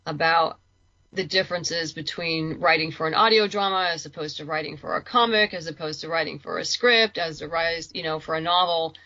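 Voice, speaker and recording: A woman's voice: on the low side, pushed and bright, dull audio. A woman chatting informally as an interview guest, thinking aloud as she explains her work as a writer. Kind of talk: casual conversational interview.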